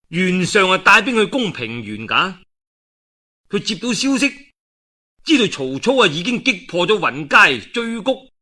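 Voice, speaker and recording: A man's voice: on the high side, sharp tone. A man telling a historical tale in colloquial Cantonese in a traditional storytelling style, with dramatic delivery and conversational asides.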